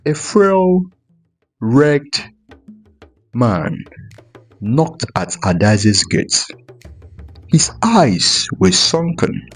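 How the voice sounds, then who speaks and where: A male storyteller delivers a scripted folktale at a slow pace. His pitch rises and falls in lively swings, with deliberate dramatic pauses. Soft music plays behind him. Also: slightly rough. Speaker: storyteller narrator. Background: background music.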